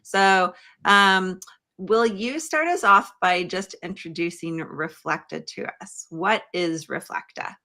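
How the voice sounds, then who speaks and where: A woman's voice: lowish voice, slow, bright, forceful tone. A woman hosting a conversational livestream interview, putting questions to a guest. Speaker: podcast livestream host.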